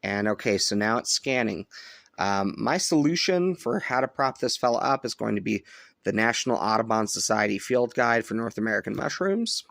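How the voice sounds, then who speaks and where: A man giving a casual hands-on product review, thinking aloud in a halting way. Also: lowish voice, lively intonation, vocal fry, fairly bright tone, no room sound. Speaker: host of a shortwave radio review channel. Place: indoors at home, upstairs room.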